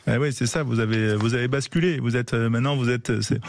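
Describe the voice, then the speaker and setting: A man's voice: medium pitch, creaky. A man hosting a live radio sports show in a studio, chatty and joking with guests and callers. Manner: chatty, joking, quick asides. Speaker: radio host.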